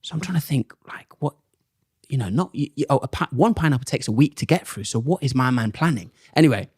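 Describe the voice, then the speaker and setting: A young man and comedian, chatting casually as a podcast guest and telling animated stories in which he acts out other people's lines. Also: medium pitch, fast, slightly rough, no echo, studio quality. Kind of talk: casual chat, storytelling.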